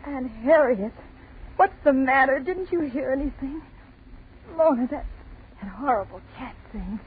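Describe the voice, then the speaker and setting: A frantic young woman in a vintage radio horror play. Her high voice is breathless, pleading and overwrought. It comes through a narrow, muffled old recording with some noise. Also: expressive, measured pace. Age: young adult. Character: hysterical, terrified young woman pleading for help. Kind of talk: 1940s radio horror acting.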